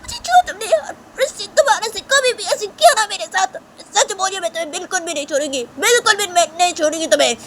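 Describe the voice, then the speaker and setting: A woman's voice: very high voice, lively intonation, fast, hardly any pauses, pitched above the performer's own voice. A shrieking, furious young woman. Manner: shouting threats, repeating lines.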